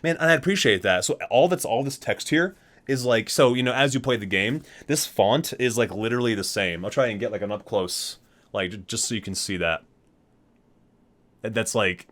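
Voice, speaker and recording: A man's voice: on the low side, fairly bright tone. A man hosting an ASMR unboxing, chatting casually and reading aloud, rambling, correcting himself and marveling at small details. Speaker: video host, gaming fan.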